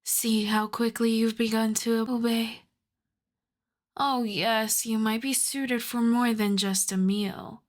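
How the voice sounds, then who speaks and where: A seductive vampire countess played by a woman speaks in an intimate ASMR roleplay, drawing her words out very slowly. She slips between soft voice and half-whisper, teasing and possessive. Her commands come wrapped in endearments, with playful menace underneath. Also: on the high side, little pitch movement.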